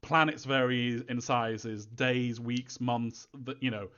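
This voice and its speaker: A man answering fan questions in an informal live Q&A, thinking aloud in a halting, rambling way, as a developer. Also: mid-range voice, steady tone, a bit bright, lo-fi sound.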